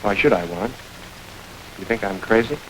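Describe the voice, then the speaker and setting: An actor playing Gene, a world-weary middle-aged crook, in a 1940s radio drama, clipped and sardonic. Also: male voice, fairly low pitch, fairly bright tone, lightly acted, low-quality recording. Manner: clipped, sardonic, quick delivery. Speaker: Gene, a criminal facing the chair. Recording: hissy old radio recording.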